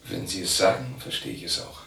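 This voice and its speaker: An actor playing a sardonic, restless young East German loner in a radio drama, speaking in a clipped deadpan. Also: male voice, a little fast, lightly acted. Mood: wry, deadpan. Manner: clipped, deadpan, asks and answers himself. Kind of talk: radio drama acting, part inner monologue.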